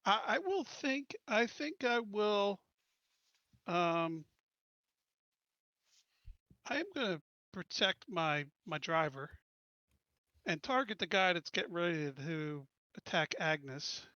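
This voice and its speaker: Slow-talking man with a bright voice. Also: sits high, animated, no echo, muffled recording. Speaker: tabletop game player. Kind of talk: casual tabletop game chat.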